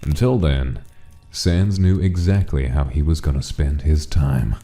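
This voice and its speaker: A man's voice: very deep voice, measured pace, warm tone. A man performing as the narrator of a comic dub, reading a mafia monster tale as scripted dramatic story narration. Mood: measured, ominous.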